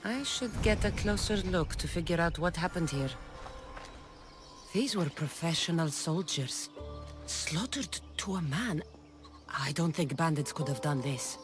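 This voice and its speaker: An actress playing a confident, dryly challenging young ancient Greek mercenary in a video game. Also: female voice, sits low, animated, unhurried, music in the background. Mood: pensive. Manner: cool, dry, challenging.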